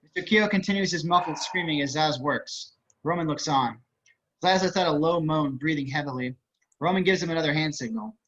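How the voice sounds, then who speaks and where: A man's voice: on the high side, brisk, a bit bright. A man reading screenplay action as scripted narration over a video call. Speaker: stage directions reader. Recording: slightly muffled video-call audio.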